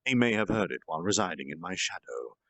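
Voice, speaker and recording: A man reading a story aloud on a stream in an earnest, theatrical amateur style with deliberate pauses. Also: deep voice, very animated, a bit bright, no room sound. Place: indoor home reading chair, livestream.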